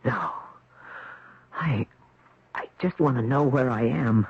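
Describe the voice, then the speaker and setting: An actor playing a wary young surfer in a 1970s radio drama, speaking and narrating in a dramatic, emphatic way. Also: male voice, mid-range voice, slow pace, husky, exaggerated voice. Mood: bewildered, agitated. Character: bewildered young surfer man, wary and agitated. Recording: dull, noisy 1970s radio recording.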